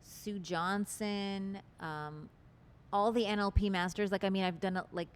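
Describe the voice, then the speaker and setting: A woman's voice: mid-range voice, fairly even intonation, unhurried, slight background noise. A woman guest in an interview, talking about relationships in an articulate, expansive and earnest way. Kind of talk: interview conversation.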